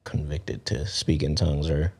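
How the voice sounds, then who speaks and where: A man talking as a podcast guest in relaxed, rambling chat full of fillers. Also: extremely low pitch, moderate pace, breathy edge.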